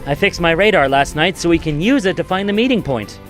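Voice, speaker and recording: A woman playing a polite young tech whiz, precise and composed. Her intonation is lively, in cartoon game style, with faint music behind. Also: lowish voice, a little fast, lightly acted. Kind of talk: cartoon video game acting.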